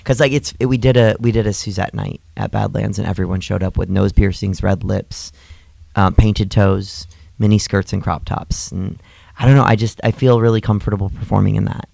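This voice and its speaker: A man's voice: lowish voice, fairly even intonation, fairly quick, creaky, a little mellow, no echo. A young adult man co-hosting a podcast, a comedian and drag performer, chatting casually with many fillers and restarts. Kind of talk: casual chat.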